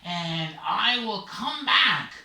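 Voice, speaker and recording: A man voicing a brash, smooth-talking raven who poses as a reassuring dentist in a children's story. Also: high-pitched, lively intonation, very slow, sharp tone, voice pushed up, heavily acted. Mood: hurried, reassuring. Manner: smooth-talking, emphatic, salesman-like patter. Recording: roomy echo, distant mic.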